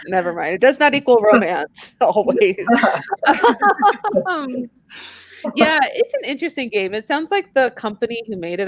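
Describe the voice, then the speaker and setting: A woman's voice: medium pitch, gravelly, no echo. A woman chatting casually about video games on a podcast in an informal, joking way. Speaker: podcast panelist.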